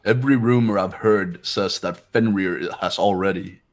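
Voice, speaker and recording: A man voicing a composed, haughty young man in a fan-dubbed game, speaking in a measured, aloof and formal way. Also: low-pitched, voice pushed down, natural voice. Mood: aloof, intrigued. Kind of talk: fan voice acting of a visual novel game.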